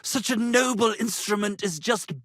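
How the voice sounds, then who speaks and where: An actor voicing a vain, florid wandering poet-musician, theatrical and grandiloquent, in a medieval video game. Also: male voice, high voice, normal speed, fairly bright tone, no room sound, studio quality. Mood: dramatic lament. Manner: flowery, grandiloquent, melodramatic.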